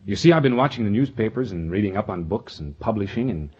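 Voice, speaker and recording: An actor playing a steadfast, plain-spoken young small-town suitor, earnest and sincere, in a 1940s radio drama. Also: male voice, lowish voice, lively intonation, quick pace, darkish tone, slightly rough. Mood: tender, confident. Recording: muffled 1940s radio broadcast recording.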